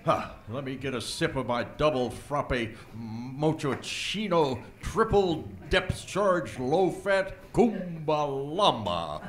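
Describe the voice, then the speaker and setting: Man playing a laid-back small-town sheriff, slow drawl, lively pitch, comic radio play.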